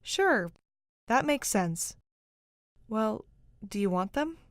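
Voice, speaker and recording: A woman's voice: a little high, big pitch swings, slow pace, no echo. A woman acting a protective, agitated young fugitive woman in a fantasy audio drama, insistent and caring.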